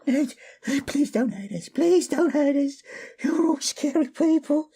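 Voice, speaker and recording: A man voicing a small, timid goblin with a high whimpering voice and an anxious, stammering manner in a fantasy audio drama. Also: unhurried, dark tone. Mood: pleading.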